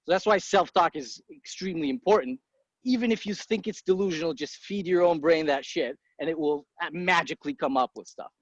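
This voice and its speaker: A man in his thirties from Egypt talks in a blunt, easygoing way and swears casually as he answers. His voice sits a little high for a man. The recording is dry and a bit muffled. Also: no room sound. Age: 30s. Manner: blunt, swears casually, quick impulsive asides. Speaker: guest, entrepreneur. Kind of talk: casual interview talk. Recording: dry muffled podcast audio.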